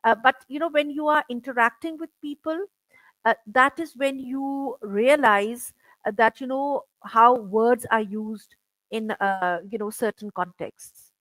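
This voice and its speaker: A middle-aged woman interviewing over a video call, chatty and halting, filling her talk with "you know" and restarts. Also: on the high side, fairly bright tone, dry recording, poor audio. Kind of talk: casual conversational interview.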